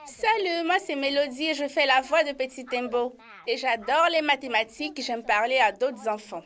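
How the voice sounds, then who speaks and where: A woman's voice: sits high, moderate pace, fairly bright tone. A woman presenting a behind-the-scenes segment for children, addressing young viewers directly. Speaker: children's cartoon voice actor, presenter. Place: recording studio. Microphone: studio microphone.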